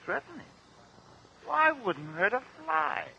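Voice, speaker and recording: An actor playing a defiant, slippery gangster who answers curtly and cockily in a radio courtroom drama. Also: male voice, very high voice, sing-song, slow pace, gravelly, bright, forceful tone, full character voice. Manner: cocky, curt. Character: defiant, slippery male gangster posing as businessman. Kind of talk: old-time radio courtroom drama acting. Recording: dull, noisy old radio recording.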